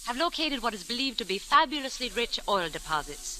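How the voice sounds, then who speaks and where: An actress playing an alluring, commanding ringleader of foreign intrigue in old radio drama, poised and formal. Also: female voice, on the high side, big pitch swings, fairly quick, pushed and bright, rough recording. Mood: coolly businesslike. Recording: hissy old-time radio recording.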